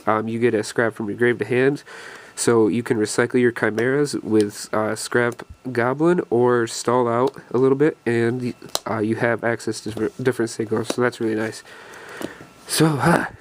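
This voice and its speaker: A man's voice: mid-range voice, steady tone, moderate pace, a little hiss or hum. Young guy w a cold, kinda stuffy n out of breath, rambly card deck talk full of ums. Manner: rambling with many fillers and restarts. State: congested from a cold. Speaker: deck profile video host. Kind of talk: casual game deck walkthrough.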